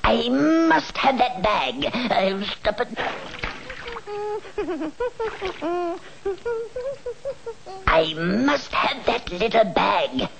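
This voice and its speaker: An actor playing a scheming, covetous old villain professor in a vintage cartoon, muttering and stammering. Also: male voice, high-pitched, big pitch swings, slow pace, creaky, fairly bright tone, a little hiss or hum, heavily acted. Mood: covetous, determined. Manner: stammering, muttering, gloating cackle.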